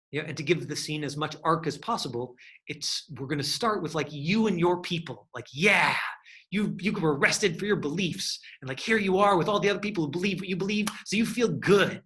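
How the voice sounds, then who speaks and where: Male acting coach, encouraging and instructive, higher register, crisp bright sound. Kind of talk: hands-on acting lesson.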